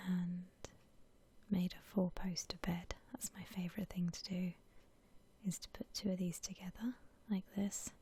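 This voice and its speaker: A woman gives whispered ASMR game commentary in a very breathy, soft voice. She takes her time, gently musing to herself as she goes. The tone is mellow and relaxed. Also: lowish voice. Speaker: gaming ASMR host.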